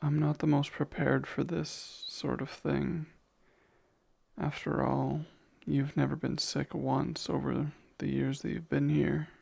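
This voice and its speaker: A man playing a gentle, protective vampire caretaker in a soft ASMR roleplay, soothing and a little hesitant, with formal wording. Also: on the high side, level tone, slow, gravelly, full character voice. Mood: apologetic, reassuring.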